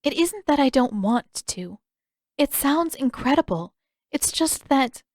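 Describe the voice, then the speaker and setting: A woman voicing a gentle, regretful teenage princess in an audiobook, with soft, careful, polite phrasing. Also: sits high, animated, unhurried, no echo, studio quality, pitched above the performer's own voice. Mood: hesitant, regretful. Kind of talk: audiobook character dialogue.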